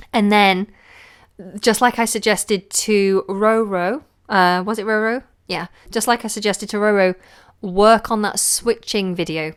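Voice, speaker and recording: A woman vocal coach giving chatty live feedback, with a low voice and a lingering cold. She talks loosely, with fillers and lost words. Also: clear, resonant tone. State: recovering from a cold. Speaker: singing teacher.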